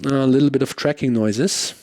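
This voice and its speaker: A man's voice: mid-range voice, fairly even intonation, moderate pace. Casual man demoing gear, guitar behind. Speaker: gear reviewer. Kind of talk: casual off-the-cuff pedal demo chat.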